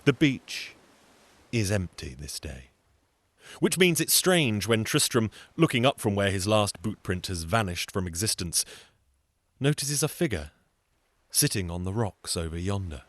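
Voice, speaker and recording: Wry British storyteller, low and swooping. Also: male voice, very animated, unhurried, a bit bright, no echo. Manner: wry, chatty asides to the audience. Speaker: storyteller and singer. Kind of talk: comic scripted storytelling performance.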